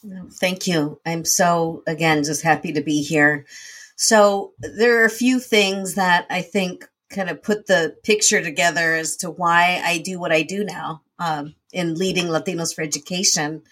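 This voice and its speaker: A woman's voice: on the low side, fairly even intonation, unhurried, a bit bright, breathy edge. A woman guest in a conversational interview, telling her life story in an earnest, reflective way. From United States. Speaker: guest, nonprofit founder and CEO.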